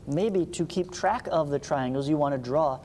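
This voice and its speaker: Male teacher, mid-to-high pitched mellow voice, read-along lesson with casual asides, reverberant recording with faint noise. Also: normal speed. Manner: explanatory, reading aloud with informal asides. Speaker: math teacher. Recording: reverberant room with background hiss.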